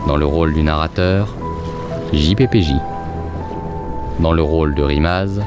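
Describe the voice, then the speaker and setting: A man narrating a scripted audio drama in a measured, dramatic storytelling manner. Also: extremely low pitch. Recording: loud music bed behind the voice.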